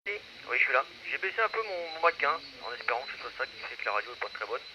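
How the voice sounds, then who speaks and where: A man's voice: mid-range voice, big pitch swings, fast, noisy background. A man, an amateur radio operator, chatting casually in a rambling, self-correcting way over a radio transmission.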